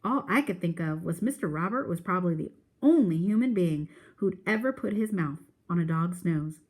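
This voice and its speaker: A woman's voice: lowish voice, lively intonation, normal speed, darkish tone. A woman reading a children's novel aloud as a teacher would for a class, expressively and with character voices.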